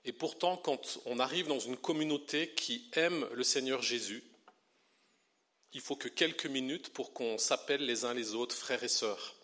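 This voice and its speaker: A middle-aged man from Belgium, a pastor and theology teacher, preaching a sermon with lively delivery that slows down to stress key words. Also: medium pitch.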